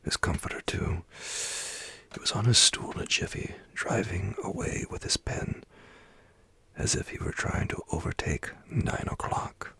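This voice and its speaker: A man narrating an ASMR bedtime story in a hushed, dramatic way, close to the mic. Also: sits low, wildly swooping pitch, measured pace, gravelly, breathy edge, dry recording.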